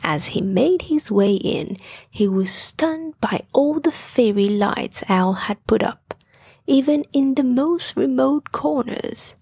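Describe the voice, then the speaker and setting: A woman narrating a bedtime story in a hushed, gentle manner. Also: high voice, slightly rough, no echo, clean studio sound.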